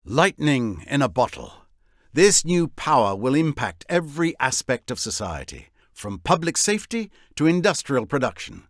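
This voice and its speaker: A man delivering scripted cinematic narration for a game, with a wry, deliberate storyteller's manner. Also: mid-range voice, very animated, no echo, clean studio sound. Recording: voice over prominent music score.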